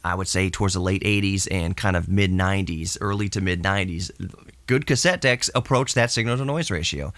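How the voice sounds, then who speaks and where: Male podcast host, lowish voice, rambling hobbyist explainer, very dry close sound on cassette tape. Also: a bit bright, dry recording. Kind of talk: casual technical monologue. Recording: recorded to cassette with tape hiss.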